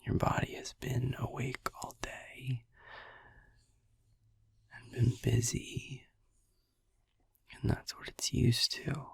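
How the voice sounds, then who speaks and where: Guy acting as a soothing vampire boyfriend for asmr, super breathy whisper, really crackly, gentle rambling up close. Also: medium pitch, flat delivery, dry recording, exaggerated voice. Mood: hushed, soothing. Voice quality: breathy whisper with gravelly creak. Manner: slow, hushed, gently musing with long pauses. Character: soothing, affectionate vampire boyfriend lulling listener to sleep. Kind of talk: whispered ASMR sleep-aid roleplay, slow and rambling. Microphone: close microphone, dry.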